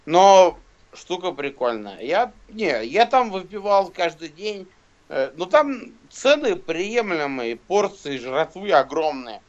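Thirties man, slangy and rambling, pitched a bit high, dull lo-fi call audio. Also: very animated, takes it slowly. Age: 30s. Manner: slangy, swearing, rambling with many fillers. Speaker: rapper and guest. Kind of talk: casual video call chat. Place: indoors, small room. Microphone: phone camera close to face.

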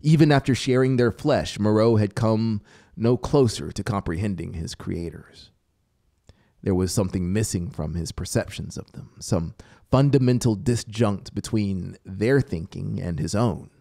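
A man in his thirties reading a story as an audiobook narrator, deliberate and theatrical, close to a studio microphone. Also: fairly low pitch, creaky, warm tone, dry recording. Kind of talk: dramatic scripted story narration. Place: small home music studio, indoors. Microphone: close studio microphone with headphones. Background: music soundscape.

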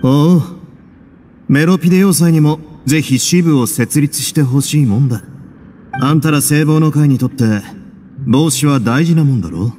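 Man as young warden duke, mellow dark tone, composed, wry, measured, nonchalant, game acting, faint music behind. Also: on the high side, close to an everyday voice. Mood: casual, wry.

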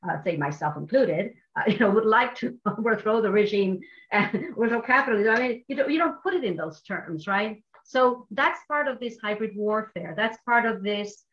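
Argentine-accented woman, animated video-call panelist. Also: medium pitch, normal speed. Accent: Argentine Spanish. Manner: animated, with frequent fillers and restarts. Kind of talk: online panel discussion. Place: home office with wall maps. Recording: slightly muffled video call audio.

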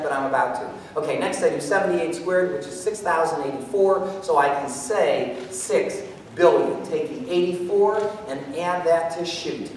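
A middle-aged man performing mental math live on stage, talking through his working out loud like an animated showman. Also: fairly high pitch. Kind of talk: live stage show explaining calculations aloud. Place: indoor theater stage. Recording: echoey hall, far from mic, noisy.

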